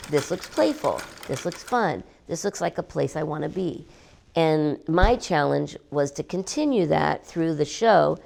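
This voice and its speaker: A woman artist talking about her work in an interview, animated and emphatic, repeating words for effect. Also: low-pitched, vocal fry. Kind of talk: gallery interview.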